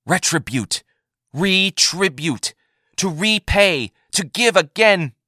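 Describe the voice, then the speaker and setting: A man's voice: a little high, lively intonation, unhurried, bright, forceful tone, no echo, clean studio sound, pitched above the performer's own voice. A man doing an anguished proud alien warrior. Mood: earnest. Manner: pleading, emphatic.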